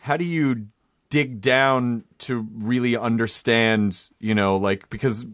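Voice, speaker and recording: A man's voice: medium pitch, slow pace, fairly bright tone, no echo, clean studio sound. A man hosting a casual, playful interview, often repeating himself for effect. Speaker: interviewer, show host. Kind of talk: casual joking interview chat.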